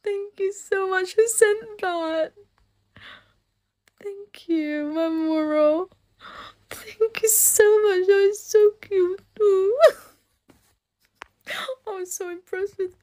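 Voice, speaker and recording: A woman's voice: very high voice, takes it slowly, long pauses, darkish tone. Giggly Filipino-accented young woman streaming. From Philippines. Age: young adult. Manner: gushing, giggly, thanking viewers. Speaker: live streamer. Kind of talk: casual live-stream chat reading comments. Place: indoor room. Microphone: phone held close at arm's length.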